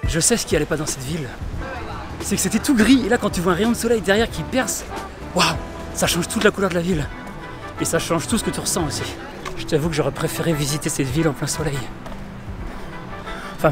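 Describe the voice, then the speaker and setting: A man walking outdoors in a city, chatting enthusiastically to his viewers in a casual travel vlog. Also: on the high side. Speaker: travel vlogger. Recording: music playing behind.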